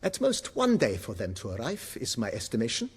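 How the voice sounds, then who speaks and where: A man playing a deferential, fussy butler in a video game, with a breathy, husky voice and formal, florid, obsequious speech. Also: mid-range voice, huge pitch swings, a little fast, in a large hall, heavily acted. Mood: eager, deferential. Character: deferential, fussy, eloquent male butler of an estate.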